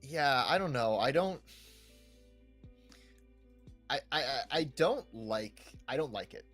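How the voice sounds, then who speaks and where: A young man talks casually as a podcast co-host, in a mid-pitched voice. He is hesitant, doubling up words and often tagging on little checks for agreement. Soft music plays behind him. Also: expressive, slow pace. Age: young adult. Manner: hesitant, repeats words, often seeks agreement with "right?" and "you know". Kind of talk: casual chat about video games.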